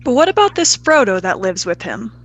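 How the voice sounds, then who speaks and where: A woman's voice: medium pitch, big pitch swings, fairly quick. A woman voicing an old male hobbit villager in a storybook, a suspicious, folksy pub gossip.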